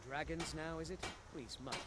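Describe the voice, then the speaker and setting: A man's voice: fairly high pitch, steady tone, brisk, very noisy, natural voice. A man playing an exasperated grown son in a fantasy game, weary, scolding and dismissive. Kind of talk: fantasy video game character acting.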